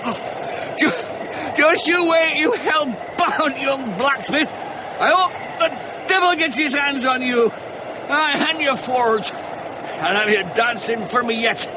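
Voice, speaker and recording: A man's voice: extremely high pitch, slow pace. An actor playing a bruised, furious village man in a radio play, muttering threats and blustering. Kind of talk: 1990s radio drama acting. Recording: dull radio recording with outdoor noise.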